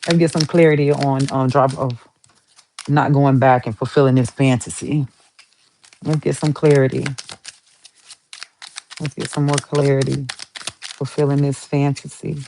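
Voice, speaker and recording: A woman's voice: very deep voice, steady tone, a little slow, creaky, long pauses, dark tone, a little hiss or hum, rough recording. A woman giving a casual tarot reading to her viewers, chatty and emphatic, swearing casually and repeating herself for emphasis. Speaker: tarot reader.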